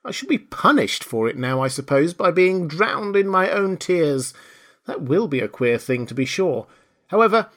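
A man's voice: fairly high pitch, sing-song, normal speed, a bit bright, voice pushed up. A man voicing a polite, chatty little girl in a storybook, earnest, eager and a bit dreamy. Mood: rueful musing. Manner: eager, apologetic, musing. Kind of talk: storybook character voice.

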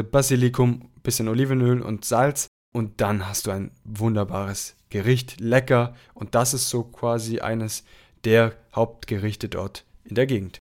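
A man's voice: fairly low pitch, steady tone, moderate pace, a little mellow. A young man from southern Italy, the show's host, giving long, rambling answers with lots of fillers. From Apulia, Italy. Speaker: podcast host. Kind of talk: casual conversation.

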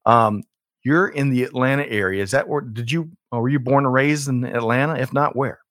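A man's voice: mid-range voice, fairly quick, fairly bright tone, dry recording, studio quality. A man hosting a show, upbeat and chatty, often correcting himself. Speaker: show host. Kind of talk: podcast hosting.

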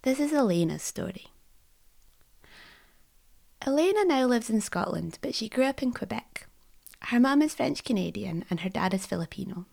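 A woman hosting a podcast, giving a measured, scripted introduction. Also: fairly high pitch, sing-song, vocal fry, a little mellow. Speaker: podcast host.

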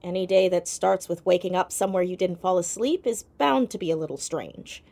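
A woman's voice: lowish voice, a little fast, gravelly, dark tone. Persistent teenage girl sleuth. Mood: curious, concerned. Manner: probing, direct questioning. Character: sharp, persistent fourteen-year-old girl detective. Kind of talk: audio drama acting, naturalistic.

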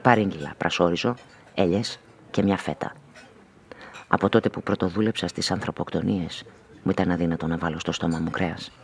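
An actor playing a weary, observant homicide detective who narrates his case in a measured, literary way in a radio play. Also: low-pitched, fairly even intonation, slight background noise. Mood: wry, reflective. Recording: music under the voice.

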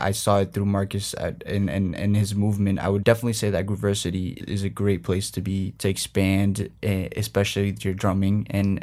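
A man's voice: on the low side, darkish tone. A young man from Colombia whose first language is Spanish, answering interview questions earnestly with many restarts. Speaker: guest, former student drummer.

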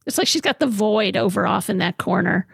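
A woman from Massachusetts with a husky, creaky voice, co-hosting a casual film discussion podcast. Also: medium pitch, lively intonation, brisk, no echo, clean studio sound. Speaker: podcast co-host.

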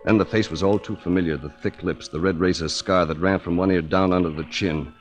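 A man's voice: sits low, steady tone, a little fast, close to an everyday voice. An actor playing a tough, wry private investigator in a 1940s American radio drama, telling his case in hard-boiled style. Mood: wry, dramatic. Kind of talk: 1940s radio detective drama acting and narration. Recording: 1940s radio recording with music.

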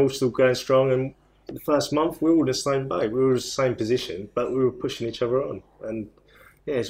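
A man's voice: medium pitch, a little mellow, slight background noise. A man, a guest on an interview, speaking bluntly and plainly. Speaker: guest, property investor. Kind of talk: casual interview chat.

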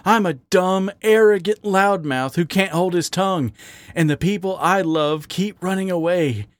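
A man's voice: sits high, unhurried, no room sound, pitched above the performer's own voice. A man voicing a drunk, self-hating male raccoon who snarls out his pain. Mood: anguished. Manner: snarling, anguished outbursts.

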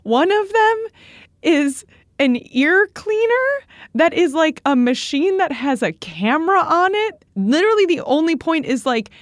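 A woman's voice: extremely high pitch, big pitch swings, a little slow, dry recording, clean studio sound. A woman co-hosting a comedy podcast in casual, exaggerating, self-deprecating, sweary chat. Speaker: podcast co-host and producer.

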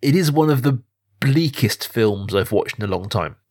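A man's voice: medium pitch, expressive, normal speed, a little mellow, slightly rough. A man hosts a conversational podcast about films. He speaks in an ordinary mid-range voice, feeling his way through sentences and often rewording them as he goes. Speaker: film podcast host. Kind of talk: conversational film discussion.

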